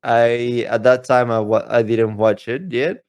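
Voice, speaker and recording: A man's voice: medium pitch, fairly even intonation, a little slow, darkish tone. A man chatting casually as a podcast co-host. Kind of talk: casual chat.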